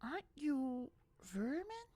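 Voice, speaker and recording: An earnest, timid young monk with a high, reedy voice that jumps and flutters, as a male reader puts him on. He speaks slowly and shyly, with a dry, close sound. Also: sing-song, warm tone, no echo, heavily acted. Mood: gentle.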